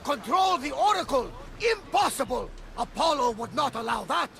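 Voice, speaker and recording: An actor playing a boisterous, devout old ship captain who talks loudly and exuberantly in a game cutscene, with crowd sounds behind him. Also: male voice, very high-pitched, expressive, moderate pace, gravelly, a little hiss or hum, full character voice. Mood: astonished. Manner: loud, exuberant, swears by the gods.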